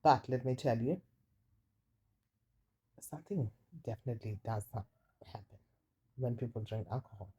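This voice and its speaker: A woman with a deep voice casually telling a personal story, chatty and confiding, often repeating words for emphasis. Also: normal speed, long pauses, mellow, husky, highs cut off. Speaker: storytime vlogger. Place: indoors while rain falls outside. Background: light rain.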